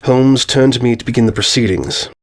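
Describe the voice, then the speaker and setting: A man's voice: mid-range voice, brisk. A man narrating a scripted short story as its narrator.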